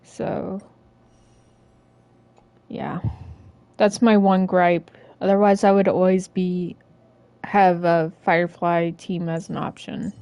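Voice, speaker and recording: Woman streamer, casual gameplay chat, unhurried delivery with some vocal fry. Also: on the low side, flat delivery, dark tone, no room sound. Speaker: game streamer.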